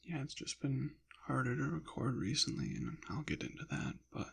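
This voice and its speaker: A man rambling softly in an ASMR style, slipping in and out of a whisper, with a hesitant, halting, apologetic manner. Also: medium pitch, animated, vocal fry, husky. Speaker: ASMR channel creator. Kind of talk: soft-spoken ASMR ramble, partly whispered.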